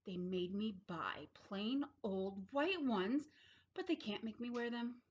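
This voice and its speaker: A woman's voice: medium pitch, lively intonation, slow, breathy edge, muffled recording. A woman reading a picture book as a grumpy, put-upon young boy, stressing and stretching words emphatically. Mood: indignant, sulky. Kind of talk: children's picture book read-aloud.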